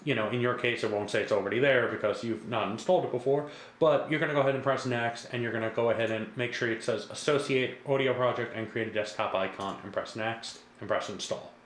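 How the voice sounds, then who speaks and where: Man, mid-pitched, casual tutorial instructor, reassuring, repetitive, roomy echo, faint noise. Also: fast, a bit bright. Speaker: product demonstrator. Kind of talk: step-by-step software tutorial. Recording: echoey room with faint background noise.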